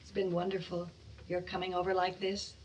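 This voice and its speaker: A woman's voice: on the low side, monotone, fairly quick, distant mic, slight background noise, natural voice, low-quality recording. An actress playing an anxious, conciliatory middle-aged widowed mother in a radio drama. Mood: grateful.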